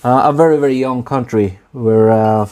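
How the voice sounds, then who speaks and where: A man from Norway with a Norwegian accent, chatting casually on a live stream in halting English full of fillers and restarts. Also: medium pitch, mellow. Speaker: woodworking streamer.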